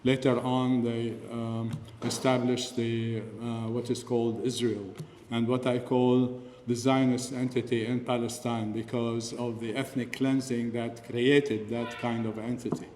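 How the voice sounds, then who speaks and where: An older man from Lebanon giving an earnest, unscripted speech at a community dinner, pausing often with fillers. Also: mid-range voice, flat delivery, darkish tone, slight background noise. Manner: earnest, frequent pauses and fillers. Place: large hall. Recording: echoey hall, voice from far off.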